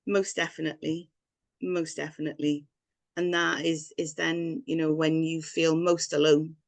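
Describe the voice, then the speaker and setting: A woman's voice: low voice, little pitch movement, normal speed, vocal fry, no echo. A woman from Wales, a guest telling her own story in a rambling, candid way with frequent laughs. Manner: rambling, personal, laughs often. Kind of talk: candid personal story in interview.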